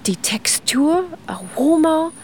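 A woman's voice: high-pitched, expressive, slow, a little mellow, slight background noise. A woman guest explaining in an interview, eager and talkative, with loose grammar and frequent restarts. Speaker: guest expert on ice cream history.

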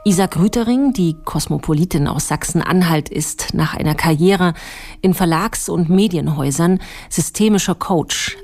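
A woman with a very low voice reads scripted documentary narration. Her delivery is measured and composed. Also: a little mellow.

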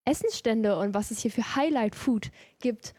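A woman's voice: a little high, moderate pace, warm tone, no echo. A young woman hosting a youth radio show, chatting casually and teasing her co-host. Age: young adult.

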